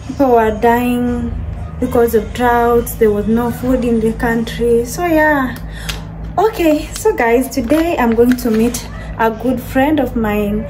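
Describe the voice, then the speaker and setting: A woman's voice: a little high, low-quality recording. A young woman chatting casually to her viewers in a home vlog, filmed on a phone. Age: young adult. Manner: chatty, addresses viewers as guys. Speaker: vlogger. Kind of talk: casual vlog chat to camera. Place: modern home kitchen, indoors.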